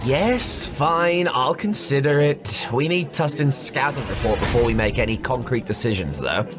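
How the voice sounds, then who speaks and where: Impatient soldier commander, acted by a man, animated. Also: mid-range voice, moderate pace, natural voice. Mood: irritated. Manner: curt, exasperated, authoritative. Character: commanding, impatient young-to-middle-aged male soldier leader. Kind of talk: fantasy audio drama acting. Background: background music.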